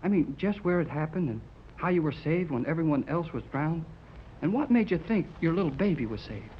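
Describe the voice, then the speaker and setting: A male actor as an eager boy sidekick in a 1930s radio serial. His voice is high and swings up and down with slangy, curious enthusiasm. The vintage recording is dull. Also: animated, brisk, slight background noise, close to an everyday voice. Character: eager, inquisitive teenage boy radio helper.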